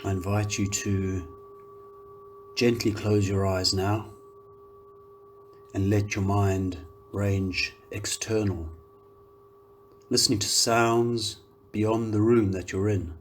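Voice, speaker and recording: A man guiding a meditation, speaking calmly and gently in unhurried phrases with long pauses. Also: fairly low pitch, a little hiss or hum. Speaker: meditation guide, yoga teacher. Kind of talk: guided meditation, slow soothing instruction.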